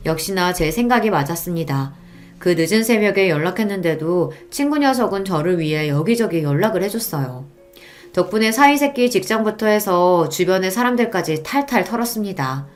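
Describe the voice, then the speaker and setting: A woman's voice: lowish voice, animated, normal speed, faint music behind. A woman reading a scripted first-person story in a dramatic radio-story style. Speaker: story narrator.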